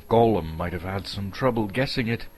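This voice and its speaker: A man from Canada narrating a story as a theatrical, expressive dramatic reading. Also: lowish voice, normal speed, a little hiss or hum.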